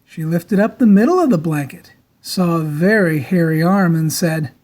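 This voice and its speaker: A woman narrating a children's storybook aloud in a dramatic, theatrical style with deliberate emphasis. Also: on the low side, animated, darkish tone.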